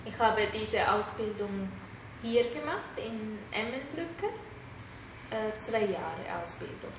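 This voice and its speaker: A woman's voice: mid-range voice, expressive, slow pace. A middle-aged woman with a Sri Lankan accent, a native Tamil speaker, giving hesitant interview answers in simple phrasing. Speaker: interviewee, therapist. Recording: echoey room, clear background noise.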